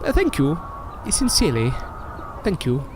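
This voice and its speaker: An actor playing a proud, easily wounded older stage magician in an audio drama, with wordy, formal, theatrical diction. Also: male voice, a little high, wildly swooping pitch, a little slow, background noise. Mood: grateful.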